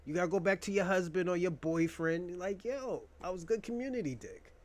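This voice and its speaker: A man's voice: sits high, expressive, brisk. A young man hosting a casual monologue, rambling and joking in a crude, profane way. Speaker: podcast host.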